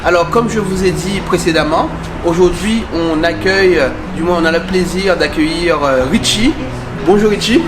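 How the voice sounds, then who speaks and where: A man's voice: sits high, normal speed, background noise. A man hosting a conversational interview for his video channel. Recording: roomy echo, music behind. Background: music.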